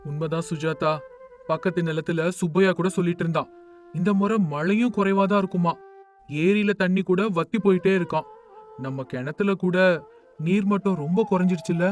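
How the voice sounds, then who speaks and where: An easygoing, proud village husband from an animated storybook, talking in a high, bright register, as a man acts him out. He chats casually and frets over what the neighbours think. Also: normal speed, soft background music, natural voice. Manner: easygoing, concerned with appearances.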